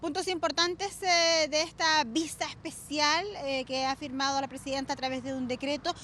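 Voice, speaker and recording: A Chilean woman reports live for the news in a very high voice. Her delivery halts and hesitates as she goes. Light traffic noise sits behind her. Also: little pitch movement, moderate pace, bright, forceful tone. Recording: outdoor street noise, passing vehicles. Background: faint traffic noise.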